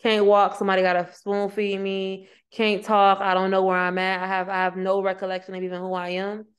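Clear-voiced woman in casual podcast chat. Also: mid-range voice, monotone. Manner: candid, conversational, quick reactions. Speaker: podcast co-host.

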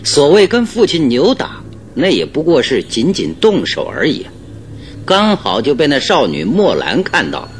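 Analytical detective, radio drama: confident, measured reasoning, lively intonation, music bed. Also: male voice, a little high. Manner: measured, reasoning, rhetorical questions. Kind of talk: Mandarin radio drama acting.

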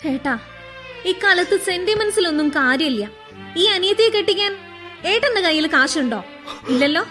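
An actress playing a cold, defensive young bride-to-be in a radio drama, a reproachful and pragmatic younger sister. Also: female voice, extremely high pitch, animated, normal speed, fairly bright tone, faint music behind. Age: young adult.

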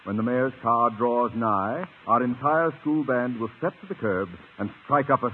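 Through a narrow, clearly noisy 1950s radio recording comes a pompous school principal acted by a man. He is middle-aged and blustering, with grandly florid, commanding delivery made for broadcast comedy. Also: mid-range voice, moderate pace, close to an everyday voice. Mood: pompous, exasperated. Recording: noisy, band-limited old radio recording.

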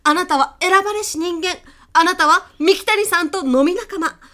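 A woman's voice: very high-pitched, normal speed, pushed and bright. A woman playing a pushy, self-assured business coach and hypnotist in a comedic radio drama, teasing, condescending and dramatic. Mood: incantatory, grandiose.

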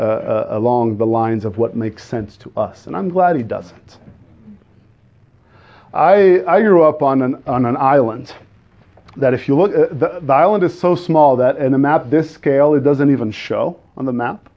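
A man from the Azores with a Portuguese accent, a native Portuguese speaker preaching a sermon in an earnest, halting way, often restarting and putting questions to the congregation. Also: medium pitch, dull audio. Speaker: guest preacher.

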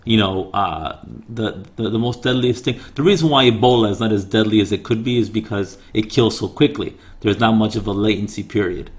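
A man with a raspy voice chatting casually as co-host, thinking aloud with fillers and questions. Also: fairly low pitch, a little fast, few pauses. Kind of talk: casual chat. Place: home in Japan.

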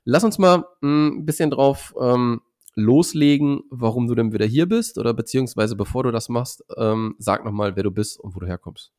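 A man's voice: mid-range voice, moderate pace, a little mellow. A man hosting a casual podcast interview, a bit sick and slightly nasal, talking with many hesitant fillers. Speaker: podcast host.

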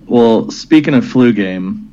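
A man's voice: medium pitch, lively intonation, takes it slowly, mellow. A man hosts a casual podcast chat, joking with his friends and laughing often. His voice sits in a middle range, and the recording is slightly dull with a faint hiss. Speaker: podcast host.

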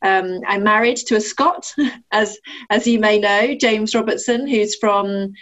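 A woman's voice: steady tone, measured pace, fairly bright tone. A woman, answering interview questions in a friendly, conversational way with many fillers and restarts. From Sheffield, England. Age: 40s. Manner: friendly, frequent fillers and restarts, laughs easily. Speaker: ambassador, interview guest. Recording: muffled, low-fidelity audio.

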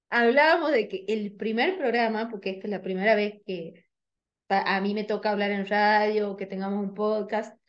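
A woman with a Tucumán Argentine accent, co-hosting a radio podcast and speaking in a sincere, reflective way. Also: dull audio. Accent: Tucumán Argentine Spanish. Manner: sincere, reflective, self-described high-pitched voice. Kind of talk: casual radio chat.

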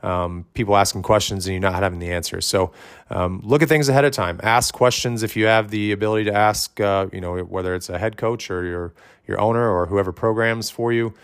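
A man hosting a podcast, giving loud, direct coaching advice in casual talk, with many ums and restarts. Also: fairly low pitch, a little fast, clear, resonant tone. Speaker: fitness coach and podcast host.

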